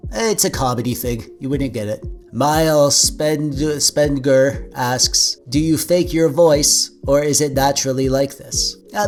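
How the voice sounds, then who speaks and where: A man's voice: mid-range voice, darkish tone. A man in his forties from Canada, with a nasal voice and a slight stutter, answering viewer questions in a joking, dorky way. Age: 40s. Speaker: YouTube host. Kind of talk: casual Q&A vlog.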